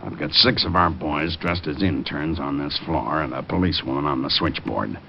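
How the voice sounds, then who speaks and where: A man's voice: a bass voice, moderate pace, vocal fry. A man playing a curt, commanding police lieutenant in an old radio crime drama. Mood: businesslike. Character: gruff, businesslike male police lieutenant. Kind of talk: 1940s-50s radio crime drama acting. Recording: muffled, faintly noisy old radio recording.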